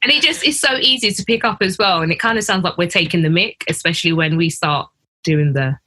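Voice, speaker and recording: A woman's voice: fairly low pitch, fast talker, sharp tone. A British woman hosting a podcast, chatting informally and casually with a guest. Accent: British English. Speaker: podcast host.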